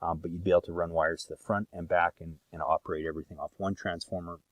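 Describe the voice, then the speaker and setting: Man, very low voice, dry close voice-over, relaxed, lots of ums and uhs, consultant explaining a design. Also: quick pace, dry recording. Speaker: landscape lighting consultant. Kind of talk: narrating an online design consultation over photos.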